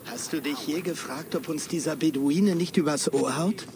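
An actor playing a chatty, pondering villager in video game background dialogue, with a creaky voice. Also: male voice, on the high side, normal speed, a crowd in the background, lightly acted. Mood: doubtful.

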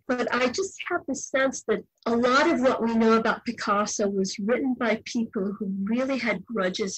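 An older woman novelist answering interview questions in a thoughtful, measured way. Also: a little high, breathy edge, dry recording, low-quality recording. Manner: thoughtful, measured, occasional restarts. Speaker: novelist guest.

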